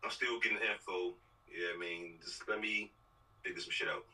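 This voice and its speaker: A man's voice: deep voice, steady tone, moderate pace, pushed and bright. A man acting out film script lines and making casual remarks over a video call. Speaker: actor at a table read. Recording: distant mic on video call.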